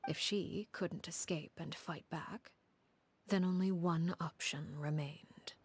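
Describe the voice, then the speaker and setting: Casting: cold, commanding game harbinger woman, low-pitched, hushed and breathy, measured storyteller. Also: fairly even intonation. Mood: solemn, restrained. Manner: measured, solemn, deliberate. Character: composed, cold, commanding woman harbinger telling her grim past. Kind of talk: dramatic storytelling in a video game.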